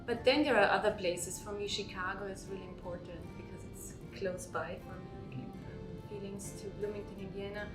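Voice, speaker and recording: A woman's voice: medium pitch, steady tone, normal speed, hardly any pauses, distant mic. Austrian woman talking thoughtfully, lots of um's, roomy echo, music underneath. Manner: reflective, thoughtful, with frequent um's. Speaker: theater scholar. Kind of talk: conference interview answers. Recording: echoey room with background music.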